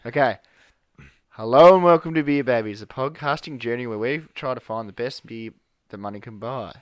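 A young man hosts a relaxed podcast chat. His voice is smooth and clear, and he rambles with lots of fillers and restarts. The sound is dry and close. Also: medium pitch, moderate pace, dry recording. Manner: rambling, hesitant, many fillers. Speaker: podcast host. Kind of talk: casual chat.

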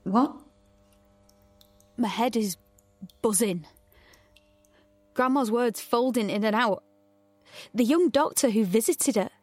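Wary, defiant young heroine. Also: female voice, fairly high pitch, slow, long pauses, dry recording, faint music behind. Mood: tense, shaken. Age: young adult. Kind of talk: audio drama acting with intimate first-person narration.

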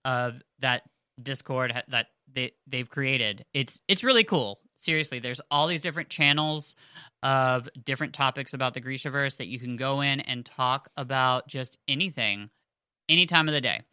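A woman's voice: rumbling low, moderate pace, sharp tone, clear, resonant tone, no room sound, studio quality. A woman, co-hosting a podcast in a chatty, rambling way with fillers and restarts. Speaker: podcast co-host. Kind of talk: casual podcast chat. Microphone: headphones on, close mic.

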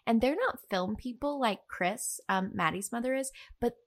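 A woman's voice: on the high side, normal speed. A woman co-hosting a podcast, chatting casually and animatedly, acting out quotes as she tells stories. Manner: animated, giggly, acts out quotes. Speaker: podcast co-host. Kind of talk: casual, gushing film chat.

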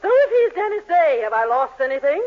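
An actress playing a bossy, quick-tempered matron in a 1940s radio sitcom, brisk and commanding. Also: female voice, high-pitched, sing-song. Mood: exasperated. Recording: dull, hissy 1940s radio broadcast.

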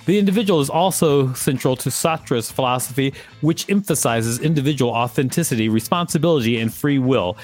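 A man's voice: mid-range voice, a little fast, few pauses, faint music behind. A man co-hosting a podcast, reading an encyclopedia article aloud with playful asides. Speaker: podcast co-host.

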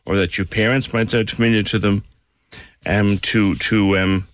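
Male presenter, low and lively, with quick acknowledgements. Dry studio sound. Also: no echo. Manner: brief questions and frequent acknowledgements. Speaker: radio presenter. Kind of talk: radio interview.

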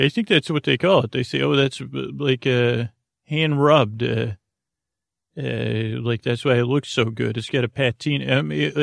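A man hosting a bedtime podcast with a creaky voice, rambling in a lulling, hesitant, meandering way that keeps drifting into tangents. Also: medium pitch, no room sound, clean studio sound. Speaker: podcast host. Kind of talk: rambling bedtime monologue for sleep.

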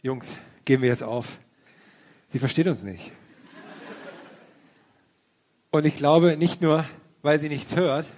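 A man's voice: on the high side, normal speed, darkish tone, slight background noise, natural voice. A man playing a melodramatic, plaintive boy detective in a comedic audio play. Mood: resigned. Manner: plaintive, exaggerated.

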